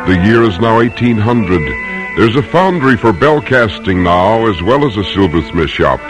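An actor as a solemn, measured storyteller of American history in dramatic old-time radio narration. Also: male voice, fairly low pitch, very animated. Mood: stately, dramatic. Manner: measured, solemn, poetic phrasing. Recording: vintage radio recording. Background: music.

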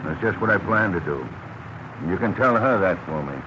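A big, smug heavy with a deep voice, taunting and deliberate. It is old radio crime drama, dull in sound with clear noise behind. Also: male voice, expressive. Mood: smug threat. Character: menacing, taunting fat man, smug villain. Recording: noisy, muffled vintage radio recording.

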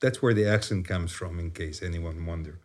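Chilean-accented man in his sixties, animated. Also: deep voice, moderate pace. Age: 60s. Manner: animated, repeats "yeah?" and "you know", stammers on restarts. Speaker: neuroscientist guest. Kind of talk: conversational explanation.

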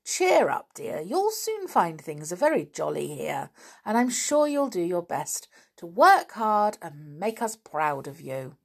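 A woman reading aloud and voicing a young, pretty, cheerful schoolmistress who speaks warmly and laughs easily. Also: fairly low pitch, very animated, unhurried, creaky, slightly rough, full character voice. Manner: warm and laughing.

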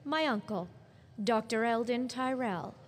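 A woman plays a sultry femme fatale from a 1940s radio noir. The voice sits fairly high, cool and self-possessed, with formal, challenging phrasing. Faint noise sits behind it. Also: unhurried, natural voice. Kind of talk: 1940s radio drama acting. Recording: noisy live stage radio recording.

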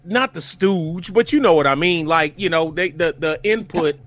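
Fifties guy, high voice, rambly, keeps restarting sentences. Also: normal speed, a bit bright. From Detroit, USA. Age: 50s. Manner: rambling, searching for words, many restarts. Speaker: podcast co-host. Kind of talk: casual group banter.

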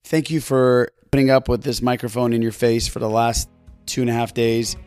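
A man's voice: mid-range voice, little pitch movement, moderate pace, darkish tone, smooth. A man hosting a podcast, giving an appreciative, conversational sign-off to listeners. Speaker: podcast host. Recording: dry, close, faint music behind. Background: faint music.

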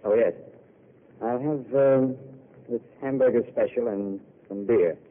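An actor playing a gentle, fatalistic wandering drifter in a 1940s radio drama, with a soft, reflective way of speaking. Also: male voice, mid-range voice, a little slow, lightly acted. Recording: tinny old radio broadcast.

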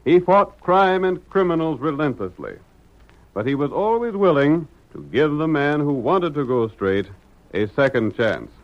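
Dramatic American radio announcer, sweeping intonation. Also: male voice, fairly high pitch, lively intonation, measured pace. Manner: dramatic, declamatory. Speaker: narrator, announcer. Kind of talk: 1940s radio drama narration. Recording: muffled vintage radio recording.

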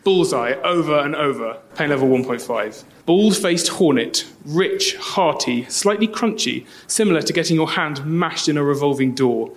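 Man's dramatic reading, slightly high pitch. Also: normal speed. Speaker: live event reader. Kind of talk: dramatic reading of short poetic text.

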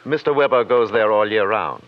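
Man playing a low-voiced, guarded businessman. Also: normal speed, lightly acted. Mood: defensive. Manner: formal, hesitant, guarded. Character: stiff, evasive male senior partner of a conservative firm. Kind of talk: 1950s radio crime drama acting. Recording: dull, noisy old radio recording.

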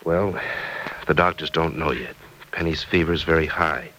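Low-voiced, earnest man, noisy old radio. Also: normal speed, pushed and bright. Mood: grave, reassuring. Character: earnest, protective male friend consoling a mother. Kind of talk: 1940s-50s radio drama acting.

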